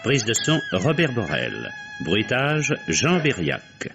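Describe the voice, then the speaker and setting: A man's voice: mid-range voice, huge pitch swings, slow, highs cut off, music in the background. A man announcing programme credits in the style of an old radio broadcast.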